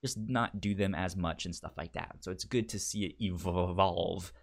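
A man co-hosting a podcast in casual chat, speaking haltingly with many stammered restarts. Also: low-pitched, fairly even intonation, gravelly. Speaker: podcast co-host.

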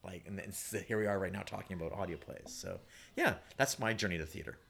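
A man's voice: sits low, lively intonation, brisk, creaky, fairly bright tone, slight background noise. A man with a Canadian accent telling personal stories casually as an interview guest, rambling a little, with self-deprecating humour. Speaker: playwright guest.

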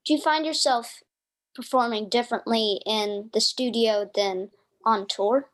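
A woman's voice: fairly high pitch, a little slow. A woman interviewing a singer in a casual conversation, asking questions and giving frequent short affirmations. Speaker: interviewer, musician.

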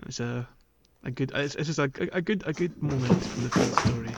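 A man co-hosting a casual gaming podcast, recapping in a halting, stammering way with frequent false starts. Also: mid-range voice, heavy background noise. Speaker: podcast co-host. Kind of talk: casual game recap chat.

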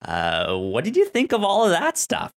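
A podcast co-host chatting casually about a TV show, haltingly, often restarting words and phrases. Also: mid-range voice, wildly swooping pitch.

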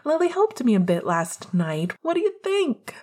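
A woman's voice: high-pitched, very animated, normal speed, a little mellow, pitched above the performer's own voice. A woman voicing an exuberant young man in a storybook reading, teasing his best friend with playful, theatrical gushing. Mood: cheerful, proud.

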